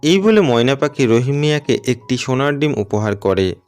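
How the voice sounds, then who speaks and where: A man's voice: mid-range voice, very animated, normal speed, darkish tone, soft background music. A man narrating a scripted cartoon story as a storyteller.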